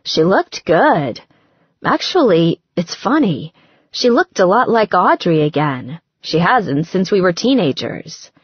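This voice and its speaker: A woman voicing an affectionate, sardonic young bride-to-be who frets, in an expressive conversational manner for an audiobook. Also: fairly low pitch, slow pace, slightly rough, dry recording, lo-fi sound, full character voice. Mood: chatty, musing.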